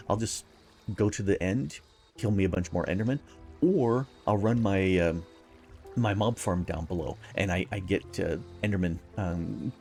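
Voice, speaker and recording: Raspy-voiced man, low and upbeat. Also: a little mellow. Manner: upbeat, chuckles often, rambling explanations. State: early-morning voice. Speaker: gameplay video host. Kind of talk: casual gameplay commentary.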